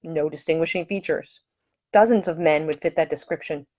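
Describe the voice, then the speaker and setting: A woman's voice: deep voice, moderate pace, mellow. A woman reading her own novel aloud as scripted audiobook narration.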